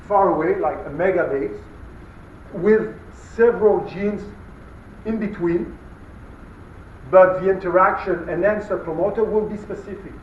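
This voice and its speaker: A man whose first language is French gives a university lecture, halting, with many fillers and restarts. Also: high-pitched, a little hiss or hum. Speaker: guest lecturer. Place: large lecture hall, indoors. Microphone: camera far from speaker. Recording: muffled, far-off, echoey lecture hall.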